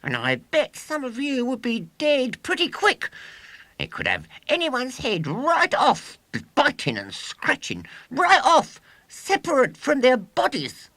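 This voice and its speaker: Shrill boastful little schoolboy. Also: male voice, wildly swooping pitch, slow pace, pushed and bright, dry recording, full character voice. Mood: menacing, boastful. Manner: exclamatory, bragging, emphatic delivery. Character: boastful, scornful small English schoolboy showman. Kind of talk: children's storybook character voice.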